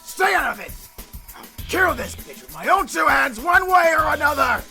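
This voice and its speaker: A woman voicing a cruel male demon gang leader who sneers, threatens and shouts insults, with a gravelly voice. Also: high voice, big pitch swings, moderate pace, faint music behind, voice pushed up, heavily acted. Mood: furious.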